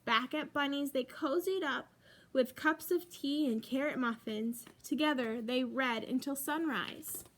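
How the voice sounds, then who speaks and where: A woman reading a children's picture book aloud as a library storyteller, expressively and with emphatic pauses. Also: high-pitched.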